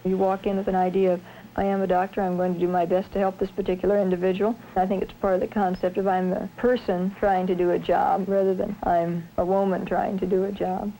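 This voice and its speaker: A woman surgeon speaking in a measured, articulate way in a reflective documentary interview. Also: medium pitch, level tone, warm tone, low-quality recording. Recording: 1970s film sound with faint noise.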